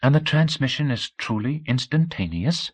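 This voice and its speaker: A blunt, shrewd young woman, poised and softly spoken, who gets straight to the point, put on by a man reading an audiobook. Her voice sits well above the reader's own deep tone. Her questions are pointed and inquisitive. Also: lively intonation, normal speed, lightly acted. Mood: candid. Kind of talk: audiobook character voice.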